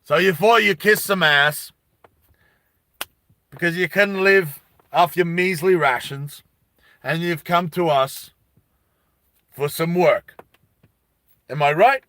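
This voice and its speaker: Man putting on a loud, brash synth foreman. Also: fairly high pitch, takes it slowly, pauses often, exaggerated voice. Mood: brash, mocking. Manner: blunt, loud, slangy, taunting. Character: gruff smoking synth foreman in hard hat, brash, mocking.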